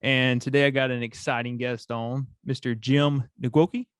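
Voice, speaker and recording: A man's voice: mid-range voice, flat delivery, moderate pace, smooth, no room sound, studio quality. A man hosting a coffee podcast, asking curious questions in a laid-back, slangy way. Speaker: podcast host. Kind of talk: casual interview.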